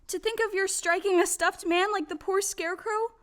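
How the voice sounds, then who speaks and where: Very high female voice, sharp bright tone, woman acting plucky farm girl, earnest, scolding, audio play. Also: fairly even intonation, moderate pace. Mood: brisk, kindly.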